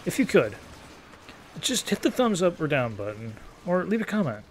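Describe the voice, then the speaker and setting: A man's voice: a little high, very animated, moderate pace, a little hiss or hum. A man in his thirties giving casual, rambling gameplay commentary as a YouTuber, in an easygoing, take-it-or-leave-it manner. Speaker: gaming YouTuber.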